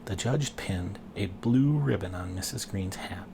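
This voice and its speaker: A man reading a children's picture book aloud, expressively and with deliberate pauses. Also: low voice, gravelly, noisy background. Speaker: storybook reader and dad.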